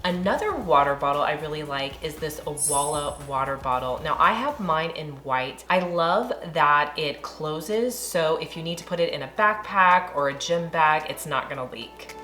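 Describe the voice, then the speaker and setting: Hoarse woman in her 30s, low voice, chatty deal roundup, soft music behind. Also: animated, moderate pace, few pauses. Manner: upbeat, chatty, recommending products. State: hoarse, recovering from laryngitis. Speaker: shopping deals vlogger. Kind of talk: product roundup voice-over.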